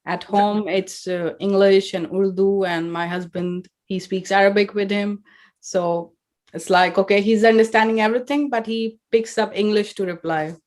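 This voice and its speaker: A woman's voice: medium pitch, steady tone, moderate pace, a little mellow. Interview host: woman, friendly, simple agreeable chatter. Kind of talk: casual interview chat.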